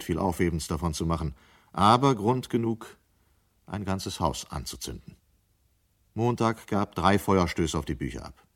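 A man narrating a literary story as scripted narration in a 1970s German radio drama. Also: low voice.

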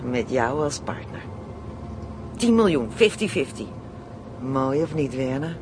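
A man acting in a radio play as a brash, greedy criminal, slangy, boastful and excitable. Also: measured pace. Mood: eager, brash. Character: brash, greedy, playful Amsterdam criminal plotting kidnappings. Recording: radio play with clear vehicle rumble.

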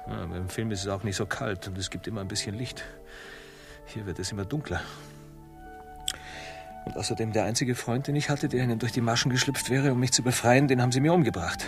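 An actor in a comic radio drama playing a pompous, cowardly, self-pitying admiral, melodramatic and whining. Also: male voice, lowish voice, fast, lightly acted. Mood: self-pitying grumbling. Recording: music behind the voice.

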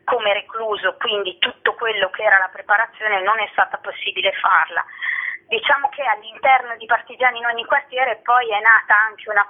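Phone-line sound, woman, mid-pitched, conversational interviewee, searching for words. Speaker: interviewee, event organizer. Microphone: telephone. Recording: thin phone line on radio.

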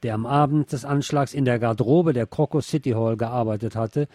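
A man reading scripted radio news in a measured, formal manner. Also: medium pitch, warm tone, no echo.

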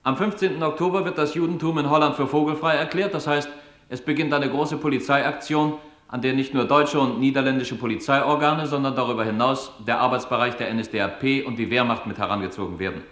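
A male SS police commander , played by an actor, speaks in a roomy, echoing space. His mid-pitched delivery is formal and clipped, reading out orders with cold, bureaucratic authority for a radio drama. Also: fairly even intonation, fast talker, a bit bright, natural voice. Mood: cold, official. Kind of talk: formal reading of official reports and speeches. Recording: roomy echo on the voice.

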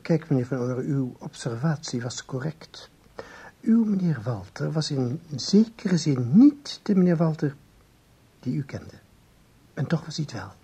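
An actor playing a learned time traveller in a radio play, calm, formal and didactic. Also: male voice, on the high side, unhurried, slightly rough, heavily acted. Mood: didactic, composed. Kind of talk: Dutch radio play acting.

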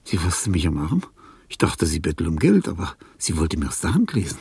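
An actor playing a sick, weary old artist near death, morbid and fussy, in a theatrical, brooding radio drama monologue. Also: male voice, low voice, wildly swooping pitch, fairly quick, a little mellow, heavily acted. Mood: reminiscing. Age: older. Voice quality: husky, creaky. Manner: theatrical, brooding, slips into whispering.

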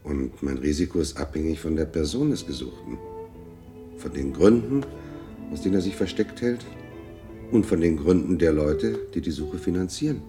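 An actor playing a calculating investigator in a radio drama, speaking in a measured, formal and insistent manner. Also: male voice, rumbling low, expressive, over music. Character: persistent, calculating male investigator hired to track someone down. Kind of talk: naturalistic radio drama acting.

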